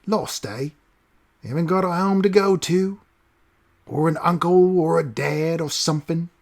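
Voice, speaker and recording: A man's voice: a little high, a little slow, vocal fry, a little mellow, pitched above the performer's own voice. A man voicing a grubby, greedy male street driver who bullies a boy, in a coarse, jeering manner. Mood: menacing.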